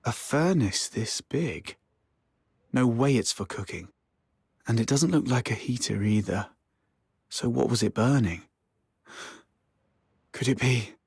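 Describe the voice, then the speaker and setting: A wry young woman pieces together a mystery in a video game. Her voice is heavily breathy and husky. She speaks slowly and quietly, as if thinking aloud. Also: extremely low pitch, no echo, clean studio sound, full character voice. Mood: suspicious, musing. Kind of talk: video game voice acting, talking to herself.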